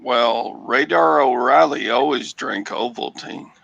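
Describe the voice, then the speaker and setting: A man with a Texan accent chatting casually on a video call livestream, joking dryly and reading aloud in a flat way. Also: medium pitch, unhurried, vocal fry, no room sound. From Texas. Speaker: reseller guest. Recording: dull lo-fi video call audio.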